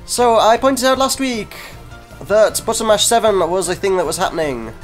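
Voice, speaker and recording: A man's voice: sits high, lively intonation, normal speed, faint music behind. A congested man, hosting a gaming video with casual, self-deprecating chat that breaks off mid-sentence. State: congested from a cold. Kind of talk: casual gameplay commentary. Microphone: desk microphone.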